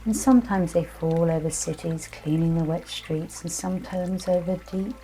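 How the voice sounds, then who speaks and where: Soothing, mellow woman guiding meditation. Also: lowish voice, fairly even intonation, rough recording. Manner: gentle, soothing, unhurried hypnotic delivery with many pauses. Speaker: meditation guide. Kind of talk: guided meditation and visualization narration. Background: soft music with trickling, dripping water.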